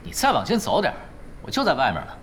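An actor playing a laid-back, sly young veteran gamer in an audio drama, unruffled, wry and teasing. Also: male voice, fairly high pitch, wildly swooping pitch, fast talker, fairly bright tone, slight background noise.

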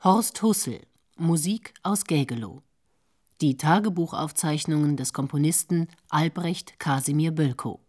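A woman announcing a radio play from a script in a formal, measured manner. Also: deep voice, warm tone.

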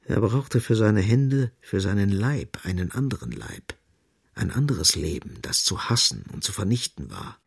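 An actor as the grave, ironic narrator of a literary radio play, deliberate and insistent, with a husky, creaky voice. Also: male voice, fairly low pitch, sing-song, dry recording, studio quality, heavily acted. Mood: grave, brooding.

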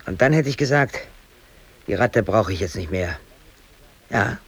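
A man's voice: fairly low pitch, animated, unhurried, creaky, breathy edge, full character voice. An actor playing a brooding, aggrieved bakery worker in his thirties, telling his story colloquially and intensely in a radio drama. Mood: tense recounting. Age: 30s. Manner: colloquial, emotionally intense, self-justifying. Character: brooding, aggrieved East German bakery worker under arrest. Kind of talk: radio drama monologue, confessional narration.

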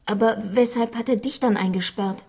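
An actress playing an exhausted, desperate young woman in a radio crime drama, halting and pleading. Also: on the high side, steady tone, a little mellow, close to an everyday voice. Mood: incredulous, upset.

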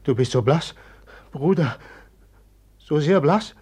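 A man's voice: a little high, lively intonation, slow, a little mellow, slightly rough, exaggerated voice. A haunted, brooding young man. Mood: anxious. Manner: brooding, fearful, whispering. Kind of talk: 1950s radio drama acting. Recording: old 1950s mono radio recording.

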